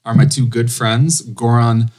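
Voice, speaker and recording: Podcast host, male, middle pitch, friendly, steers with short questions and lots of "okay". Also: level tone, measured pace, darkish tone. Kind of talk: casual interview chat.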